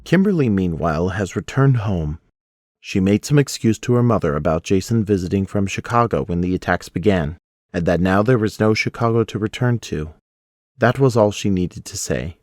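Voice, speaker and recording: Low-voiced male narrator, very dry sound. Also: expressive, moderate pace, darkish tone, no echo. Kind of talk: scripted audio drama narration.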